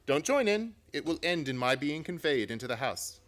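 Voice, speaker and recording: A man playing a confident, commanding gentleman detective in a theatrical radio play. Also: on the high side, big pitch swings, moderate pace. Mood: instructing.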